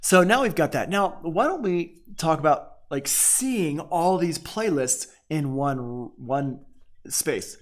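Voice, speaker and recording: A man's voice: fairly high pitch, animated, takes it slowly. Casual man teaching, thinking aloud. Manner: informal, conversational, thinking aloud. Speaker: music production teacher. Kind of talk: casual software tutorial.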